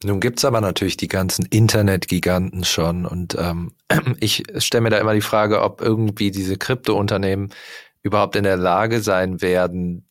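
A man's voice: deep voice, steady tone, normal speed, no echo, clean studio sound. A man interviewing a guest, asking long, thoughtful, probing questions. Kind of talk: conversational interview.

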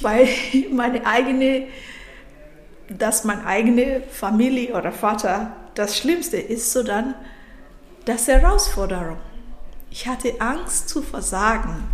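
Reflective African-born woman in an echoey room. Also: fairly high pitch. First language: not German. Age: 30s. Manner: reflective, halting, restarts mid-sentence. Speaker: podcast guest. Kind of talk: personal story in interview.

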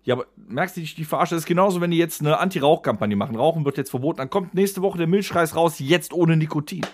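A man co-hosting a casual podcast among friends, given to animated, mock-exasperated rants. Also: a little high, fast talker, no room sound. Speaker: podcast co-host.

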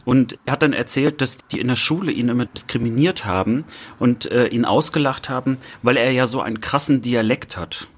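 A man co-hosting a casual podcast, relaxed and often laughing, with frequent hesitations. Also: medium pitch, fairly quick, breathy edge. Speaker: podcast co-host.

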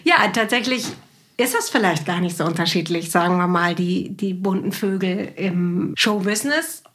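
A woman's voice: fairly low pitch, normal speed, fairly bright tone. A woman from a German North Sea island, hosting a podcast with casual, chatty, teasing questions. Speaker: podcast host, writer. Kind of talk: casual conversational interviewing.

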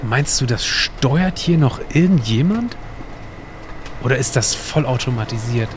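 A man's voice: mid-range voice, expressive, a little slow, a little hiss or hum, close to an everyday voice. A man playing a curious, chatty time traveller in an educational audio drama, explaining things in a conversational way. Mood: curious, amazed. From Baltic coast, northern Germany.

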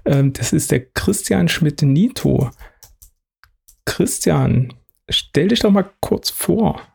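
A man's voice: fairly high pitch, expressive, takes it slowly, vocal fry, darkish tone, husky. A man hosting an IT podcast, asking questions with plenty of hesitations and restarts. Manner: hesitant, many fillers and restarts. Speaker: podcast host. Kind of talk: casual interview chat.

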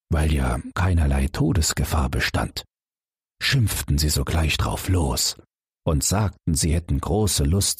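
Very deep, husky man reading a bedtime tale. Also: no echo, clean studio sound. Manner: slow, calm, soothing reading. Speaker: audiobook narrator. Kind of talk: bedtime fairy tale narration.

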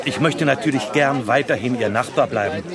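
A man's voice: medium pitch, a little fast, a loud crowd, close to an everyday voice. An actor playing a fussy, anxious middle-aged man in a children's radio play, awkward and hesitant. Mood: apologetic, awkward.

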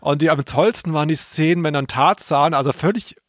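A man's voice: fairly high pitch, fairly quick, dry recording, studio quality. A male podcast co-host giving casual, humorous commentary, explaining things with ironic understatement.

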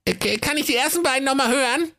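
A man voicing a young, hapless, cheeky fairytale prince in a squeaky falsetto, stammering and whining in slangy speech. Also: fast talker, gravelly, bright, forceful tone, full character voice. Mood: whiny.

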